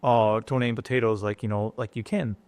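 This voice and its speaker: A middle-aged man from Japan with a Japanese accent, a chef talking as a guest in an interview, pausing often and restarting his sentences. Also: fairly low pitch, steady tone, a little mellow, dry recording. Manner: halting, many fillers and restarts. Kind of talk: casual interview talk.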